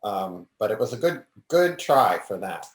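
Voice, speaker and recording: A man, a professor, calmly explaining a quiz answer over a video call. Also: lowish voice, measured pace. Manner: relaxed, explanatory. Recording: roomy call audio.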